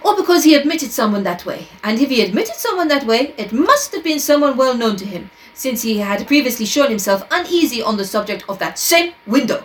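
A woman voicing a fussy, triumphant little male detective with a put-on French accent, deliberate and probing. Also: high-pitched, big pitch swings, slight background noise, pitched above the performer's own voice. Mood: explanatory.

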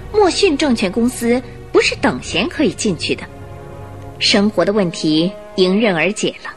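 Animated woman storyteller over faint music. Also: medium pitch. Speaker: narrator of a radio drama. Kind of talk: expressive scripted radio storytelling.

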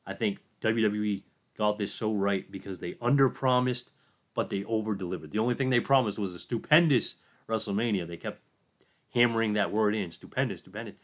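Enthusiastic man recapping wrestling, lowish voice. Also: fairly quick. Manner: enthusiastic, opinionated, conversational with fillers. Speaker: wrestling commentator. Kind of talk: solo opinion recap.

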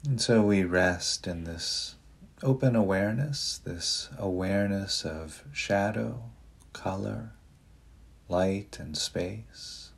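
Male meditation guide: deep and soft, very unhurried, gentle delivery with long pauses. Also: creaky, husky.

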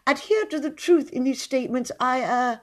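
A woman's voice: high-pitched, pitched above the performer's own voice, close to an everyday voice. A woman reading aloud voices a prim, pompous thin male would-be poet who stammers and hesitates with many uh's. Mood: fawning. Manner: fussy, halting with many uh's.

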